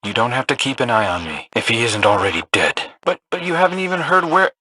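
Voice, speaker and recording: An earnest, questioning young sci-fi guardian from a video game. His husky voice sits at a middle pitch with a tense, bright edge. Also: lively intonation, quick pace, no echo. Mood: defensive. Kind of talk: scripted video game acting.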